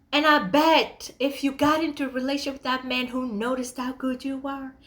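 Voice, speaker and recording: Female motivational speaker, high register, emphatic and conversational. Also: fairly bright tone. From Kenya. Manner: emphatic and conversational, asks rhetorical questions. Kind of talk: motivational talk addressed to listeners.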